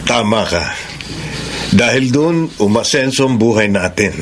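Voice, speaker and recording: A man's voice: on the low side, animated, a little slow, slight background noise. A man with a Filipino accent acting a humble, forgiving fisherman in a radio drama. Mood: approving.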